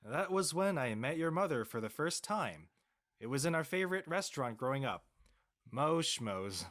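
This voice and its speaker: A man playing a teasing, laid-back middle-aged dad with a deadpan delivery in an audio drama. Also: a little high, normal speed. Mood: nostalgic.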